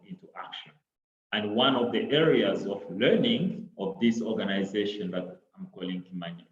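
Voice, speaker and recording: A middle-aged man from Congo with a Congolese accent, his first languages Kiswahili and French, giving an online talk in a halting way full of repeats and "you know". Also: on the low side. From Democratic Republic of Congo. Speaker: presenter. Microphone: laptop on a video call. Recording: echoey, dull laptop audio.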